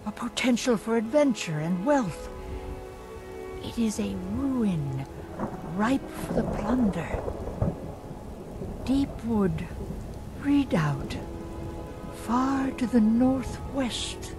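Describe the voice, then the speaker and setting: Old fortune teller woman, fantasy game, slow n halting n eerie, music behind. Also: a little high, expressive. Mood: entranced, ominous. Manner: halting, portentous, drawn-out phrasing. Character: weary old fortune-telling seer woman, folksy, eerie in trance. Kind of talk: fantasy video game acting.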